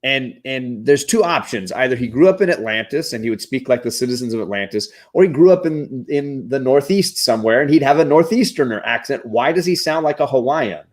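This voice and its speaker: A man's voice: mid-range voice, lively intonation, a little fast, few pauses. A man in a casual pop-culture discussion, opinionated and nitpicking, repeating words for emphasis as a panelist. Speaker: panelist in a live comics chat.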